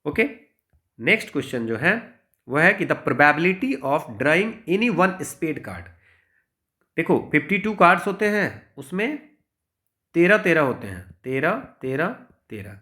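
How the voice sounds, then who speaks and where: A man with an Indian accent and a fairly high-pitched voice gives an informal maths lesson. He works through each problem step by step, explaining as he goes and often adding little tags to check that the listener follows. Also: very animated, measured pace, sharp tone. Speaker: maths teacher.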